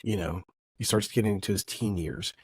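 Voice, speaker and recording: A man's voice: on the low side, a little fast, breathy edge. A middle-aged man with a cold and a nasal voice, talking through a casual, reflective podcast monologue that rambles and corrects itself as he goes. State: has a cold. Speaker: podcast host.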